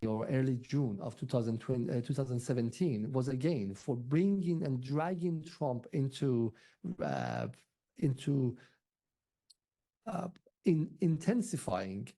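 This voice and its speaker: A man with an Iranian accent and a very dark, mellow voice discusses politics off the cuff. His pitch is medium, and he speaks haltingly, repeating words as he searches. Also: animated. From Iran. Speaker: interview guest, political commentator.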